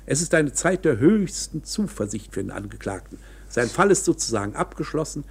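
An actor in a German radio play playing a knowing, coolly matter-of-fact court insider who explains things in a measured, insinuating, officious way. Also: male voice, on the high side, sing-song, gravelly, a little hiss or hum. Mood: businesslike.

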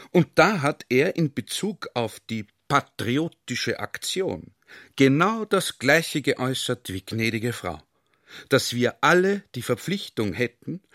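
Slow, courteous old-world general. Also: male voice, medium pitch, a bit bright, no room sound, clean studio sound. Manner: deliberate, formal, ornate politeness. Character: courteous, cautious military general of imperial Austria. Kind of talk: radio play acting.

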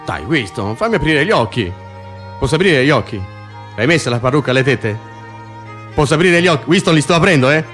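An actor playing an excitable, teasing male political prisoner in a radio play, insistent and often repeating himself. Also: medium pitch, brisk, pauses often, soft background music, natural voice. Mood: coaxing. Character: John, excitable joking male political prisoner in South Africa. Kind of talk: naturalistic Italian stage-style radio acting.

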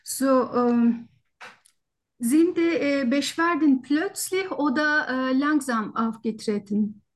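A woman's voice: high-pitched, expressive, slow. A non-native German-speaking woman with a foreign accent, hesitantly and politely conducting a formal medical interview as a doctor, often searching for words. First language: not German. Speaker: doctor taking a patient history in exam practice. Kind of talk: halting structured medical interview in role-play.

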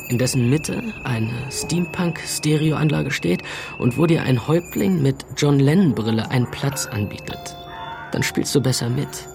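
An actor playing a sarcastic, impatient young slacker in a German science fiction radio play, with a flippant, slangy delivery. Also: male voice, medium pitch, vocal fry, close to an everyday voice. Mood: wry narrating. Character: Jeremy, sarcastic impatient slacker young man trapped in simulation.

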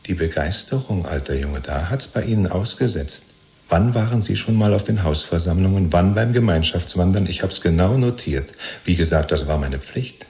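Servile party loyalist, deep voice, rambling, chummy, anxious self-justification, radio play. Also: male voice, expressive, moderate pace, a little mellow. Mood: self-justifying. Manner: rambling, ingratiating, chummy, seeking reassurance. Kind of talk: 1970s German radio play acting.

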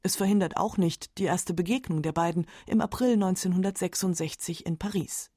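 A woman narrating a scripted radio documentary in a measured, matter-of-fact way. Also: on the low side, dark tone, no echo, clean studio sound.

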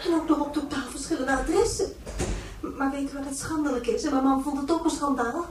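Indignant, gushing mother played by a woman, radio drama. High voice, distant from mic, faint noise. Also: brisk, close to an everyday voice. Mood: scandalized. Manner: gushing, scandalized, repetitive. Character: indignant, prim teetotal mother, overexcited interviewee. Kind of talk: Dutch science fiction radio drama acting. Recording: echoey, distant, faintly noisy interview tape.

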